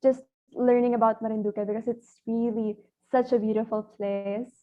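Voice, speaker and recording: A woman's voice: a little high, fairly even intonation. An eighteen-year-old woman from the Philippines, a pageant contestant chatting eagerly in a video call interview, often laughing. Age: teens. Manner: giggly, eager, fast talking. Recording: dull lo-fi video call audio.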